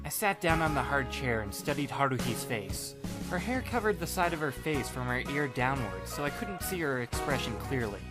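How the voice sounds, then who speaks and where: A man reading first-person story narration in an expressive, wry, self-deprecating and dramatic way. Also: mid-range voice, moderate pace. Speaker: audiobook narrator playing the first-person lead. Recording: music bed behind the voice. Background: background music.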